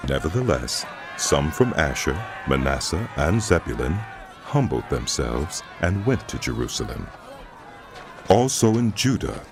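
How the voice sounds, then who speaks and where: Male narrator, extremely low voice, lively intonation, measured scripted scripture storytelling, music behind. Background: music under the voice.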